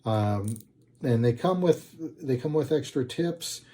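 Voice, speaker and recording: A man giving a casual, unscripted product review, speaking in a halting, hesitant way and often correcting himself. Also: mid-range voice, expressive, dark tone. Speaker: product reviewer, channel host.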